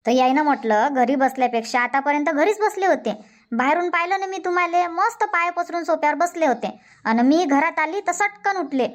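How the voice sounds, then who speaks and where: A very high-pitched cartoon housewife, acted by a woman, nags with an aggrieved air. She has a Varhadi Marathi village accent and scolds in repeated, complaining runs. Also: brisk, natural voice. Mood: scolding. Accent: Varhadi Marathi (Vidarbha). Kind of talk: Marathi comedy cartoon dubbing.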